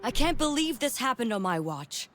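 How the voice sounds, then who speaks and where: A woman's voice: on the high side, sing-song, normal speed, over music. An actress playing a tough, stern village defender and mother in a family adventure game, firm and dryly comic. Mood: stern, frustrated.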